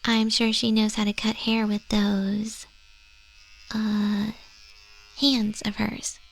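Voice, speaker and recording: A woman voicing a friendly goth moth monster-girl tattoo artist in an ASMR roleplay, chatting gently in a soft, breathy, often whispered voice. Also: mid-range voice, level tone, slow, poor audio. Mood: curious, chatty. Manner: gentle, casual, chatty, reassuring. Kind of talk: ASMR roleplay, spoken to the listener. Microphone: close microphone.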